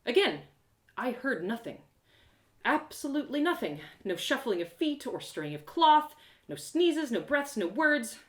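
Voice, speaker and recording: A woman narrating a first-person story in an animated, theatrical way, with dramatic pauses. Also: fairly high pitch, reverberant. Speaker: storyteller performing an audio drama live.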